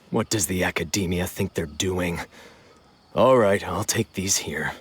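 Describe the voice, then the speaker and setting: Deep-voiced grumbling shady buyer. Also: male voice, slow. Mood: dissatisfied. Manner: disgruntled, curt. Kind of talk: video game voice acting. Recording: faint night ambience noise.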